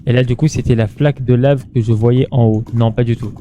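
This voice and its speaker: A man's voice: mid-range voice, fairly even intonation, a little fast, dark tone, muffled recording, slight background noise. A man doing casual gameplay commentary in an informal, slangy way, muttering to himself and chatting to his viewers. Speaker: gaming streamer.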